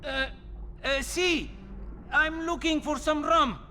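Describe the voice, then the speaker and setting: An actor playing a hot-tempered but cowardly smuggler boss in a video game, theatrical. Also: male voice, extremely high pitch, slow pace, sharp tone, a little hiss or hum, heavily acted. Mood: terrified pleading. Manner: theatrical, swings from boastful to grovelling.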